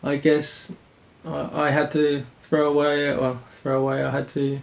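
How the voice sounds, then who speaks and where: A young man from Denmark, a speedway rider answering interview questions in an earnest, halting way with many restarts. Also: a little high, completely flat delivery, vocal fry, low-quality recording.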